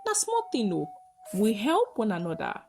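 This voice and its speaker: A woman with a Nigerian accent voicing a kind, helpful working man in a folktale, soft-spoken and modest. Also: medium pitch, wildly swooping pitch, normal speed, faint music behind.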